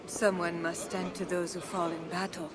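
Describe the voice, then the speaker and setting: A woman's voice: fairly low pitch, little pitch movement, crowd noise, lightly acted. Composed medieval queen, played by an actress, noisy ambience. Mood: guarded. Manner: measured, formal, pious. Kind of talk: dramatic video game acting.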